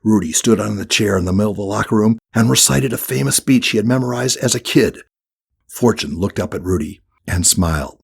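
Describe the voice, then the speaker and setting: A man's voice: fairly low pitch, brisk, creaky, husky. A man reading a scripted children's audiobook as the narrator.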